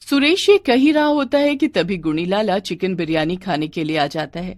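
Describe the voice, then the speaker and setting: A woman's voice: fairly low pitch, animated, normal speed, a little mellow, no room sound, clean studio sound. A woman narrating a scripted moral tale as a storyteller.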